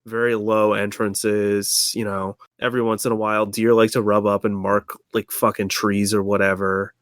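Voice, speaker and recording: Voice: deep voice, little pitch movement, moderate pace, no echo, studio quality. A player in a tabletop game chatting casually, wry and blunt, with a sweary, laid-back way of talking. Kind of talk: casual chat and in-game banter.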